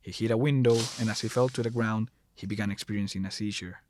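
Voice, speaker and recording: A man's voice: on the low side, steady tone, fast talker, a little mellow, a little hiss or hum. A man narrating a scripted horror story as the narrator, recorded close to the mic.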